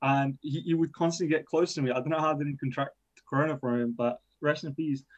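A man's voice: fairly high pitch, fairly even intonation, fast. A young man whose first language is Persian, an engineering student talking casually in a rambling, self-deprecating way with lots of fillers. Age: young adult. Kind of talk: casual podcast chat. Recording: dull lo-fi sound.

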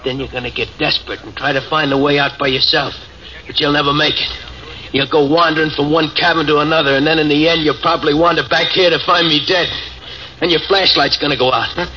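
A man's voice: a little high, fairly even intonation, fast, pushed and bright. An actor playing a cold, vengeful ex-convict who is dying and taunts his trapped partner. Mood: menacing. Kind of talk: 1940s radio acting. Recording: dull old radio recording, faint hiss.

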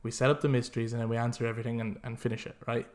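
A man hosting a casual podcast, talking in an animated, rambling, opinionated way. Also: mid-range voice, racing. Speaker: podcast host.

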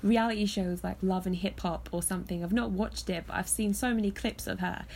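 A woman hosting a podcast, chatting casually from her bed with a hoarse voice from illness. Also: mid-range voice, fairly even intonation, a little fast, a little mellow, slight background noise. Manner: chatty, laughs often. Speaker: podcast host. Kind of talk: casual chat. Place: in bed at home.

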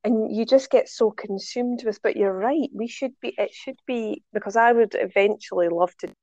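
A woman's voice: fairly high pitch, moderate pace, darkish tone, no echo. Woman, Scottish accent, bubbly casual chat, dry, slightly muffled audio. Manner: chatty, bubbly, jumps between thoughts. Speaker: podcast guest.

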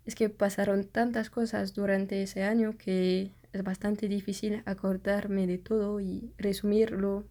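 Young woman, French native, slow, mellow tone, hesitant, filler-heavy, casual vlog. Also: medium pitch. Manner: hesitant, searches for words, many fillers. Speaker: vlogger. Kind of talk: casual storytelling to camera.

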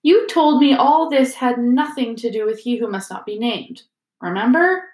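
A woman voicing an earnest, brave schoolboy wizard with an eager, hurried manner. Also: a little high, lively intonation, a little mellow, echoey room, natural voice. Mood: friendly, relieved.

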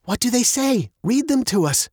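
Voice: mid-range voice, sing-song, normal speed, darkish tone, breathy edge, dry recording, clean studio sound, voice pushed up. A storybook narrator voicing an outspoken, skeptical young girl who is blunt and a little awkward. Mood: curious. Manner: blunt, stammering when challenged.

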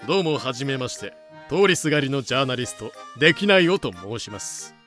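A man playing a nosy, sly journalist in an audio drama, with casual, rough-edged, probing speech. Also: medium pitch, very animated, quick pace, pushed and bright, faint music behind. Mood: breezy. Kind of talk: Japanese audio drama acting.

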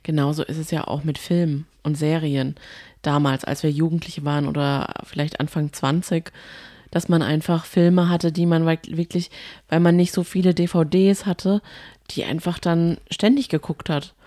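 Woman on a podcast just chatting, lowish voice, teasing, no room echo at all. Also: fairly even intonation, moderate pace, dark tone, studio quality. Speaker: podcast co-host. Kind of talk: casual podcast chat.

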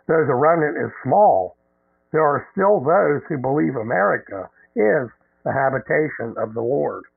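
A man hosting a radio show who reads an announcement in a measured, deliberate way. He is losing his voice. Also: mid-range voice, gravelly, slightly rough, dry recording. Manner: measured, deliberate reading with halting ad-libs. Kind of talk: reading an event announcement on air. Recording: narrow phone-line radio sound.

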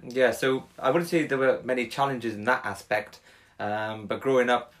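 A man's voice: mid-range voice, steady tone, normal speed, a bit bright. A young man, talking casually as a podcast guest, rambling with many fillers and restarts. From Wales. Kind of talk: casual conversation.

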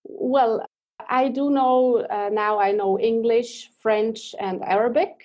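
A woman's voice: fairly high pitch, fairly even intonation, slow, muffled recording. A woman in her thirties from Switzerland with a Swiss accent, a guest in a casual interview who speaks hesitantly while searching for English words.

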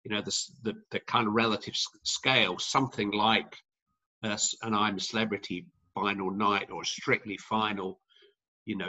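A man's voice: on the low side, bright, forceful tone, husky, rough recording. A middle-aged man, a sports commentator, giving long, rambling interview answers full of restarts and fillers.

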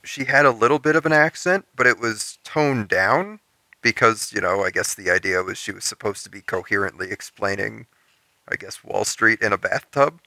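A young man with a lowish, gravelly voice and a very sharp, cutting edge chats casually. He jokes irreverently in loose slang as a podcast co-host. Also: normal speed, no echo. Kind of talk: casual chat.

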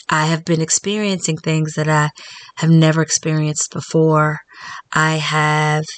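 A woman in her thirties hosts a solo wellness podcast in a low, fairly flat voice. She talks earnestly and reflectively and slows down when she speaks affirmations. The sound is close and dry, with no room around it. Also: no echo. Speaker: podcast host.

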